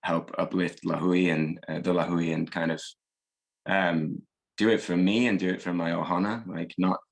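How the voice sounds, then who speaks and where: A man in his thirties with a slightly hoarse voice, reflecting at an easy pace in a casual group discussion, with many fillers. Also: low-pitched, steady tone, normal speed. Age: 30s. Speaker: discussion participant.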